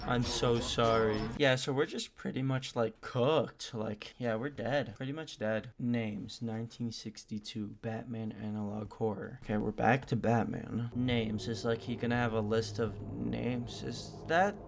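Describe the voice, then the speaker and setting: Man, reaction host, casual commentary, slangy, exclamatory asides, mid-range pitch, noisy background. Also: steady tone, measured pace, gravelly, few pauses. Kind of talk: casual reaction commentary mixed with reading on-screen text aloud.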